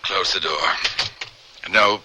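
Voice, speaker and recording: A haunted biochemist in a radio drama, with a low and sharply intense voice. He commands gravely and formally. The old recording has some hiss. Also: fairly even intonation, takes it slowly, pushed and bright, heavily acted. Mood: grave, urgent. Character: tormented, intense biochemist guarding a secret in his lab.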